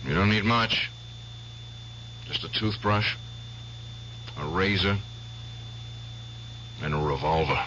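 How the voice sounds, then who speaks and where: Grim vengeful veteran. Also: male voice, deep voice, slow pace, long pauses, bright, forceful tone, full character voice. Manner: curt, grim. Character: grim vengeful veteran man bent on a vow. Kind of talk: 1970s radio drama acting. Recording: dull old radio broadcast with hiss.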